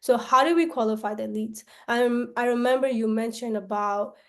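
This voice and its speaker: A woman from Myanmar, a salesperson pitching her service on a call, often tagging sentences with questions and restarting them. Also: normal speed. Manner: pitch broken up by frequent tag questions and restarts.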